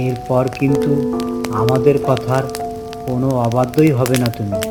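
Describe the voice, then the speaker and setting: A man's voice: mid-range voice, flat delivery, moderate pace, natural voice. A man voicing a worried village father, stern but loving, in a children's cartoon. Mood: scolding. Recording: music behind the voice.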